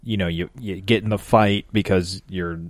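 A man's voice: deep voice, steady tone, moderate pace, dry recording. A man of about thirty co-hosting a casual podcast over drinks, laid-back and agreeable, laughing easily. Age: around 30. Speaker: podcast co-host.

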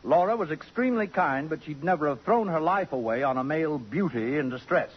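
A vain society wit, pompous and waspish, placing each phrase precisely. The voice is a little husky, on a dull old radio drama recording. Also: male voice, on the high side, animated, fairly quick. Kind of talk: 1950s radio drama acting.

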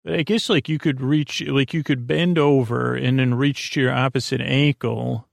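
A man with a gravelly, fry-laden voice delivers a rambling bedtime monologue as a podcast host. His pitch sits in an ordinary male range, and he meanders haltingly, dropping and restarting thoughts. The sound is dry and close, with very little room. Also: fairly even intonation, no room sound. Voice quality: creaky, gravelly.

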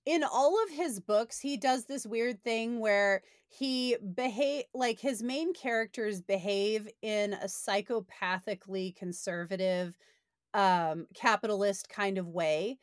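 A woman's voice: medium pitch, slow, no echo, clean studio sound. A woman in casual discussion, opinionated and sardonic, speaking as a podcast panelist reviewing books. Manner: opinionated, sardonic, uses profanity.

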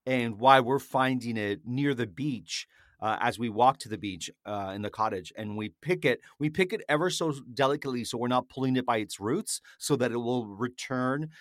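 A man's voice: mid-range voice, moderate pace, no echo, studio quality. A man co-hosting a casual food chat, rambling happily through stories. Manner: chatty, rambling storyteller. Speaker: podcast co-host.

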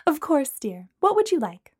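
A woman's voice: sits high, very animated, normal speed, no echo, studio quality, pitched above the performer's own voice. Woman doing a doting motherly flight attendant. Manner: warm, doting.

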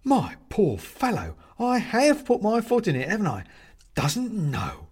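A man's voice: a little high, big pitch swings, moderate pace, voice pushed up. A man voicing a jovial, fussy china-figurine ghost in a storybook, chatty and hearty with old-fashioned British turns of phrase. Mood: aghast. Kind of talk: storybook character voice.

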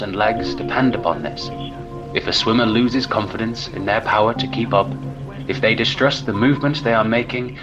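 A man playing a stern, measured, didactic narrator reading an old-fashioned instruction manual. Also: lowish voice, close to an everyday voice. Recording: dull lo-fi sound with music. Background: music.

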